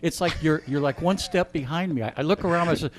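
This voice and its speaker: A man's voice: a little high, fast. A middle-aged man in casual radio chat, teasing wryly and rambling with repeated phrases. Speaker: radio entertainment presenter, guest.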